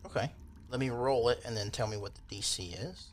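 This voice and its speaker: A man's voice: medium pitch, fairly quick, slight background noise. A man acting as game master, narrating a tabletop game in a casual, loosely improvised way. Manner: informal, loosely improvised descriptions.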